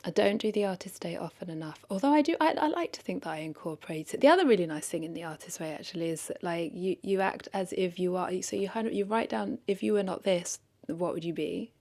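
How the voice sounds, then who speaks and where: Low-pitched woman chatting with a creaky voice. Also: animated, fast, few pauses, mellow. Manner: rambling, self-correcting, thinking aloud. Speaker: content creator, vlogger. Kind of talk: casual unscripted vlog chat.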